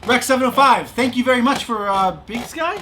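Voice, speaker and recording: A high-pitched man hosts a relaxed crafting stream. His voice is bright and forceful, and he talks in a playful, informal way over some background noise. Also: a little fast. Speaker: livestream host. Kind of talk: casual chat while doing crafts.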